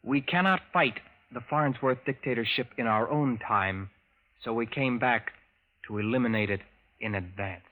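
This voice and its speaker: An actor playing a resolute, grave assassin from a future underground, speaking formally in clipped sentences in old radio drama style. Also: male voice, medium pitch, moderate pace, narrow, tinny audio, close to an everyday voice. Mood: earnest. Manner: formal, clipped speech without contractions. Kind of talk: 1940s radio drama acting.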